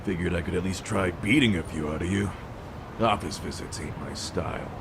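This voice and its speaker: An actor playing a brash, hot-headed gang boss in a video game dub, with slangy, swaggering tough talk. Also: male voice, low-pitched, fairly quick, vocal fry, background noise. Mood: bitter, angry. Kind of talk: English-dub video game cutscene acting. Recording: music behind the voice.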